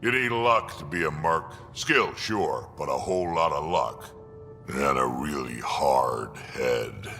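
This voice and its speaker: Ancient gravelly alien warrior, extremely deep. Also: male voice, takes it slowly, sharp tone, big-room sound, faint music behind, heavily acted. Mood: gruff, wry. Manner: blunt, coarse. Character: ancient gruff alien warrior mercenary, proud grandfather. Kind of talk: video game character dialogue.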